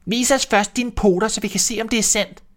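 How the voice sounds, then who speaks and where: A man voicing a group of small goat kids in a read-aloud fairy tale, shouting back in a shrill, defiant voice. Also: steady tone, natural voice. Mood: wary. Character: seven frightened little goat kids.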